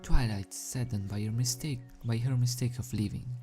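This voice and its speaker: A man's voice: medium pitch, normal speed, mellow, soft background music. A man reading a story aloud as an amateur narrator, dramatic in delivery and sometimes stumbling over the script. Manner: stumbles over words and rereads phrases. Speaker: amateur fan fiction reader.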